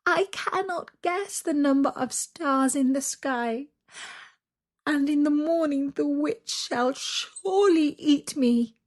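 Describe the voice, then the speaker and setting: Woman plays scared young storybook girl: high, polite, pleading, slow delivery. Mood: tearful despair. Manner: plaintive, pleading. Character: frightened, polite young girl in a fairy tale.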